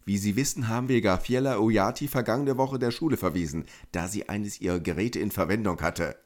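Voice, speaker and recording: Audio drama role: intimidating, pompous male headmaster with a short fuse and a lowish voice played by a man. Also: a little fast, darkish tone, natural voice. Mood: officious accusing. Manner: formal, officious, intimidating. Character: stern, threatening male school principal, pompous and short-tempered. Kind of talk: amateur audio drama acting.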